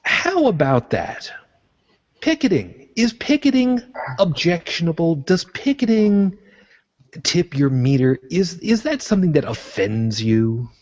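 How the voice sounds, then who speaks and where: Husky, rambling podcast guy from Brooklyn. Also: a little high, sing-song, a little slow, a little mellow, lo-fi sound. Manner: rambling, self-correcting, jokey. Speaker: podcast co-host. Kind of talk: casual chat.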